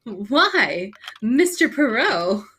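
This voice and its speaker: A woman's voice: on the high side, big pitch swings, drawn-out words, fairly bright tone, breathy edge, heavily acted. A woman playing an eager, plucky young female secretary in a live radio mystery reading. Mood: puzzled. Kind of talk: live old-time radio drama reading.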